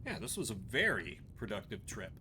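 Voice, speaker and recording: A man's voice: fairly low pitch, big pitch swings, moderate pace, noisy background. A man giving casual commentary as he streams his playthrough of a game.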